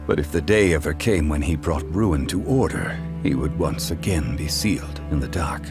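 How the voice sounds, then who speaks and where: A man playing a calm ancient god in human guise in a video game, speaking in a measured, formal way. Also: very deep voice. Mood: solemn, reflective. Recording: music playing behind the voice.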